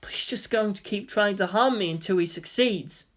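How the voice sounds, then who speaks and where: A calm, sorrowful teenage boy as a narrator acts him out in a story reading. He speaks softly and earnestly, with a measured, pleading manner. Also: mid-range voice, close to an everyday voice. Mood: calm remorse.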